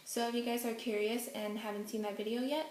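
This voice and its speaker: Young woman chatting at home, native hokkien speaker, lots of ums, kinda high voice. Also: little pitch movement, fairly quick, darkish tone, echoey room, slight background noise. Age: young adult. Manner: chatty, many ums, giggly asides. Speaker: vlogger. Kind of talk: casual Q&A vlog. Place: indoors at home, face out of frame.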